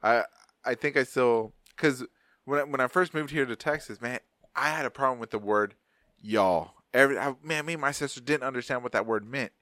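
A man's voice: mid-range voice, fairly quick, sharp tone, no room sound. A man in his thirties from Arizona hosting a casual podcast, with a voice that sits right in the middle of the range. He rambles loosely, swears freely and laughs often. The sound is close and dry, with no room around it. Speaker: podcast host. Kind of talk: casual chat.

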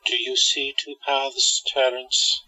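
Man playing a sharp, commanding hypnotist. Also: mid-range voice, level tone, slightly rough, no room sound, heavily acted. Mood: commanding, hypnotic. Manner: deliberate, commanding. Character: commanding male hypnotist with mystical powers. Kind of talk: radio drama acting. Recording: dull lo-fi, close and dry.

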